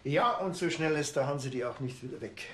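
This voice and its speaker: A man's voice: mid-range voice, lively intonation, brisk, a bit bright, a little hiss or hum, natural voice. A man, playing a sardonic, laid-back village cop in a TV crime comedy who makes dry, mocking remarks.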